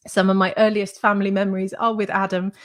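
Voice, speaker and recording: A woman's voice: mid-range voice, flat delivery, fast talker. Upbeat woman hosting a video call. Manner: upbeat, chatty, welcoming. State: slightly hoarse from a cold. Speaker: host and school founder. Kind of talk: live-stream interview. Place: home room with shelves. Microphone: webcam on video call.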